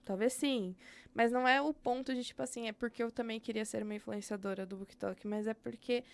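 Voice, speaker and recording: A woman's voice: a little high, steady tone, fairly quick, gravelly. A young woman with a Brazilian Portuguese accent, speaking candidly as a podcast guest about her work as an educator, thinking aloud with frequent fillers. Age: young adult. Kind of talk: casual interview conversation.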